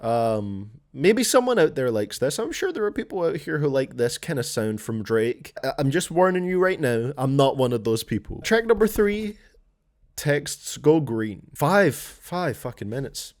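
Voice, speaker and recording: Male music reviewer, mid-pitched and lively, casual, sardonic, blunt reactions. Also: unhurried. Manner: sardonic, blunt, mildly profane. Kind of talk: casual album reaction commentary.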